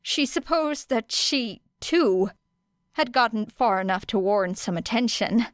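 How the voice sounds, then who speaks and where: In a dry, close sound, a woman narrates fiction at a slow, measured pace. Her reading is expressive and dramatic, with deliberate pauses between phrases. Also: on the high side, no room sound. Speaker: audiobook narrator.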